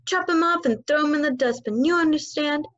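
A woman voicing a huge, brutal headmistress who barks and shouts, high and loud. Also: sing-song, moderate pace. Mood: furious. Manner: barking, shouting. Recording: dull lo-fi dry recording.

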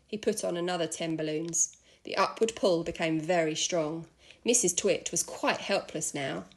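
A woman reading a children's story aloud in an expressive narrating style. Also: fairly low pitch, moderate pace, slight background noise. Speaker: school staff member reading aloud.

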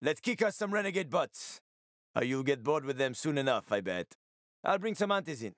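An actor playing a wry, roguish middle-aged survivor in a video game, with a sardonic, slangy, casual way of talking. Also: male voice, medium pitch, sing-song, normal speed, no room sound, clean studio sound, lightly acted. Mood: playful banter. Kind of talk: video game character acting.

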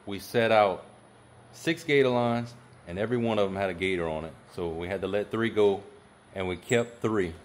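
A middle-aged man talks in a relaxed, plainspoken way, telling the day's story outdoors. His voice sits a little low. It is caught on a handheld camera held at arm's length. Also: moderate pace, a little hiss or hum. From Louisiana. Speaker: outdoors vlogger. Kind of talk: casual outdoor vlog. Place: outdoors, lakeside dock and open shed.

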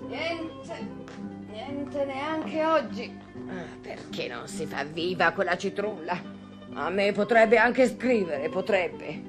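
A fretful, sighing housekeeper. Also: female voice, mid-range voice, lively intonation, slow, music in the background. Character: fretful, talkative, devoted female housekeeper. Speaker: actress in a radio play. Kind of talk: vintage Italian radio drama acting.

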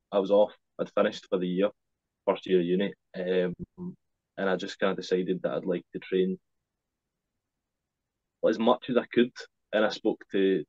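A man's voice: sits low, darkish tone, no echo. A nineteen-year-old man, answering interview questions hesitantly with many ums, on a video call from a small room at home. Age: young adult, 19. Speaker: wrestler, guest. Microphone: webcam on a video call. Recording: slightly dull lo-fi call audio.

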